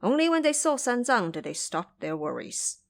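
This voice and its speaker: A woman narrating a scripted audio drama as a storyteller, with careful, expressive phrasing. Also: on the high side, normal speed, creaky.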